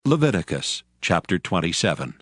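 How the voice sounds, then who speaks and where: A man serves as narrator for a dramatized scripture reading. His mid-pitched voice moves widely and expressively up and down, with light music behind it. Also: sing-song, moderate pace, fairly bright tone, breathy edge, no echo, clean studio sound.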